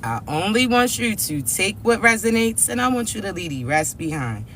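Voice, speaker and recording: Raspy high-voiced man, emphatic. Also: big pitch swings, fairly bright tone, a little hiss or hum. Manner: repeats "all right?" and "chosen ones", slow and emphatic. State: hoarse from allergies. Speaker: spiritual reader and channel host. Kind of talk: direct-to-camera motivational spiritual message.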